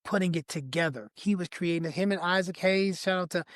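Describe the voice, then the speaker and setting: A man with a raspy voice, a singer guest on a podcast, telling stories and praising artists with emphatic, effusive energy. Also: high voice, brisk, dry recording, studio quality. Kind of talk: casual podcast conversation.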